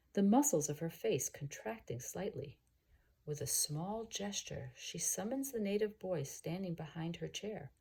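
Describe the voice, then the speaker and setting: Husky, expressive woman reading a story aloud. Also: on the low side. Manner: expressive, explanatory, pausing to gloss words. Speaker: teacher reading aloud for students. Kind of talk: scripted storybook read-aloud.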